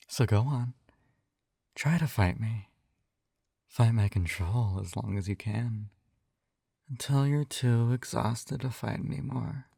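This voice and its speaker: A man playing a possessive, smugly tender hypnotist captor in an intimate ASMR roleplay, coaxing and teasing in a soft hushed voice that drifts into whispers. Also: on the low side, gravelly, breathy edge, dry recording, studio quality, full character voice. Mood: soft, menacing.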